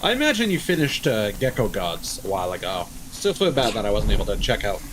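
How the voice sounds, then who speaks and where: A man's voice: on the low side, big pitch swings, a little fast, background noise. A man doing casual live game commentary, chatting with viewers and rambling as he thinks aloud. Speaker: live streamer playing a video game.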